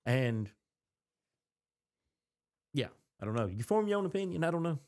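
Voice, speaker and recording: A man's voice: on the high side, sing-song, normal speed, darkish tone, clear, resonant tone. A man co-hosting a podcast in casual conversation, putting his thoughts together in a hesitant, reflective way. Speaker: podcast co-host. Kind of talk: casual chat.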